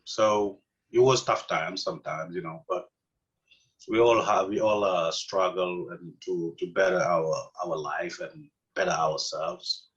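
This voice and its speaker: A middle-aged man from Senegal with a Senegalese accent, answering interview questions casually with many pauses, fillers and restarts. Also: low-pitched, fairly even intonation, fairly bright tone, dull audio. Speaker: interview guest.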